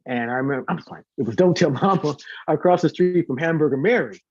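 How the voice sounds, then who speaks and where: A man's voice: fairly high pitch, fast, dry recording, lo-fi sound. A man chatting casually and informally as an interview guest, talkative and prone to repeating words for emphasis. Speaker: guest, singer and concert organizer.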